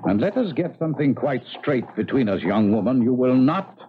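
An actor playing a haughty, coldly menacing eighteenth-century gentleman in a 1970s radio drama, with pompous, formal diction. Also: mid-range voice, expressive, moderate pace, phone-quality sound, lightly acted. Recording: noisy, band-limited 1970s radio broadcast.

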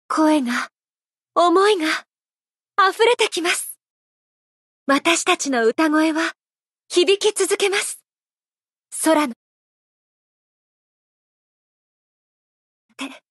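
A woman voicing a gentle, elegant, playfully affectionate idol singer in a mobile game, speaking in a soft, measured, heartfelt way. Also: very high voice, animated, no room sound, clean studio sound, exaggerated voice. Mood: sincere, uplifted.

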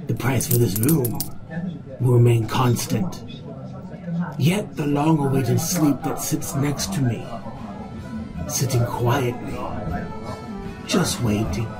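A man narrates a reflective tale at a very unhurried pace, in a middle-pitched voice. His delivery is measured and solemn, with old-fashioned wording. Clear music plays under him throughout. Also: rough recording. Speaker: storyteller narrator. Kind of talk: slow reflective scripted narration.

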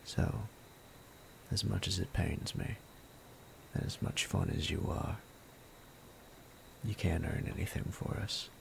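A man voicing a sadistic male bandit captor in an ASMR roleplay, low and gravelly, often whispering in a menacing, playful way. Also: fairly even intonation, slow pace, pauses often, slight background noise, exaggerated voice. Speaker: voice actor.

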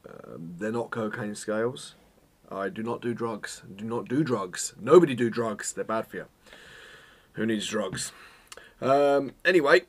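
A man, chatting casually to camera as a photography vlogger, self-mocking and full of jokey asides, a little hoarse from a cold. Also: fairly low pitch, expressive, a little slow, slight background noise. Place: home studio room, indoors.

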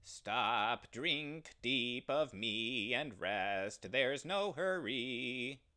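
A man voicing a menacing male evil spirit who tempts and threatens, loud and commanding. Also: mid-range voice, big pitch swings, takes it slowly, full character voice. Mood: coaxing.

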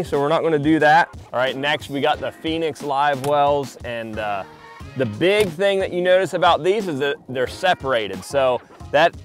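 Guy on his boat outside, laid back and rambly, lots of uhs, faint music behind. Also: on the high side. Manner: relaxed, chatty, many fillers and restarts. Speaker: pro angler giving a boat tour. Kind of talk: casual walkthrough vlog. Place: outdoors on a boat on the water.

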